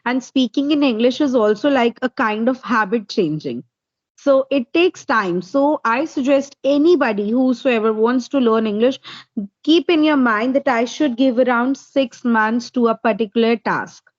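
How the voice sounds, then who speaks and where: A woman's voice: a little high, normal speed, no echo, lo-fi sound. A woman from India, a native Hindi speaker with an Indian accent, answering interview questions as an English tutor and laying out her points one by one. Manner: explains step by step, numbering points.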